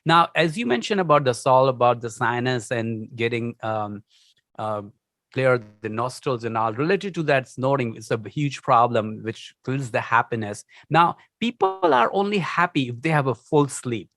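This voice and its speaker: A man hosting an interview, asking questions, full of praise for his guest and pausing on fillers. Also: medium pitch, lively intonation, fairly bright tone, no echo, studio quality. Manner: effusive, praises often, hesitant fillers. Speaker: interview host.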